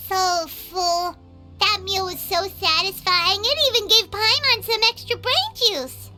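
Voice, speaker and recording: A woman voicing a tiny, childlike, excitable fairy companion in a video game, with a squeaky, chirpy delivery. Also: slow, full character voice. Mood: excited, smug. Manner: speaks of herself in third person, chirpy. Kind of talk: video game character acting. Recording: game music behind the voice. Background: game music.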